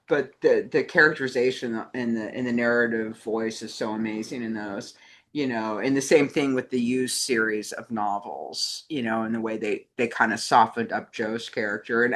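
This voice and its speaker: A woman co-hosting a casual discussion, talking in a chatty, informal way. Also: a bass voice. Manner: chatty, laughs often while talking. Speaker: co-host. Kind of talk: casual chat.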